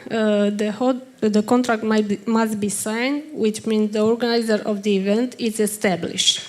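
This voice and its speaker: A woman with a Romanian accent giving a halting workshop presentation in English while unwell, pausing often to search for words. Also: mid-range voice, fairly even intonation, darkish tone. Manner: hesitant, many ums and uhs, searches for words.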